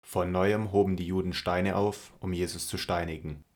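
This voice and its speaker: A man's voice: low voice, steady tone, normal speed, a little mellow. A man reading scripted narration for a Bible audio drama.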